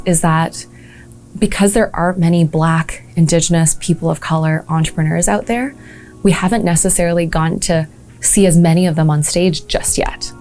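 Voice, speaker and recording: Earnest woman in her 30s, from malaysia, talking about her work, lowish mellow voice, light music under it. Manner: earnest, reflective, frequent ums and uhs. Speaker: entrepreneurship program leader.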